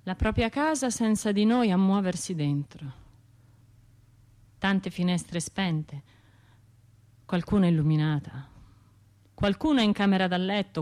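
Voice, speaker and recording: A woman's voice: fairly low pitch, a little mellow, lightly acted. Actress doing troubled woman who cant sleep, brooding radio play monologue, talks to herself in little broken bits. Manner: brooding, self-talking, broken short phrases, dropping to whispers. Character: troubled, sleepless woman of Florence haunted by a bombing. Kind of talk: radio drama monologue, intimate and natural.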